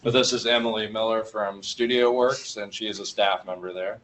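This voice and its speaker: A man hosting an arts and healthcare event, introducing his guests in a relaxed, conversational way. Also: on the low side, a little fast. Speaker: host of an arts and healthcare program. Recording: dull muffled sound with faint noise.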